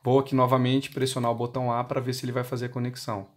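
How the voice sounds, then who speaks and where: A man's voice: mid-range voice, monotone, rapid-fire, very noisy. Brazilian man giving a step-by-step tutorial. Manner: explanatory, asks and answers own questions. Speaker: product demonstrator for motorcycle intercom seller.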